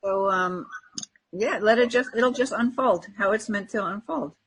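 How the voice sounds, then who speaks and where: A woman co-hosting a podcast as an energy coach, talking casually in a husky, breathy voice. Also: fairly high pitch, very animated, moderate pace, poor audio.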